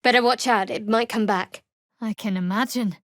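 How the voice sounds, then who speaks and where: An actress playing a guarded, sardonic young female cleric of a dark goddess in a video game, with dry, measured delivery. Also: fairly high pitch, steady tone, no echo, lightly acted. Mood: dry, wry.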